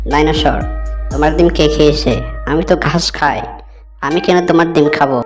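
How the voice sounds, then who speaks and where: A woman's voice: sits low, moderate pace, close to an everyday voice. A woman voicing an innocent grass-eating cartoon animal who protests, with music behind. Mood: defensive.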